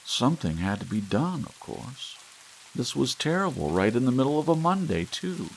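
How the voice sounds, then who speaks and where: A man reading a short story aloud in an ASMR audiobook style. He tells it expressively, rising for tense moments and sinking low and soft for quiet ones. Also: very animated, moderate pace, a little hiss or hum, rough recording. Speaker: audiobook narrator. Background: soft rain sounds.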